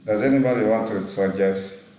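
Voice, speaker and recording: A man teaching a database class on a video call, speaking slowly, repeating himself and pausing to check the chat. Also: fairly low pitch, a little mellow, very echoey, rough recording. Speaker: instructor. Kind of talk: live online class teaching. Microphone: computer mic on a video call.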